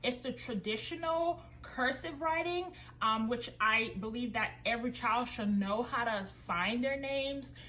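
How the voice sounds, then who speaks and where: Upbeat homeschool mom vlogger, raised in Haiti with French as her first language. Friendly, high and bright. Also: unhurried, few pauses, low-quality recording. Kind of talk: casual curriculum review vlog.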